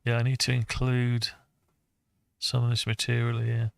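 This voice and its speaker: Gravelly man, flat and unhurried. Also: mid-range voice, no echo, clean studio sound. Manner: muttering to himself while working, thinking aloud. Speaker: hobbyist tutorial maker. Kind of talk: unscripted screen-recorded software walkthrough.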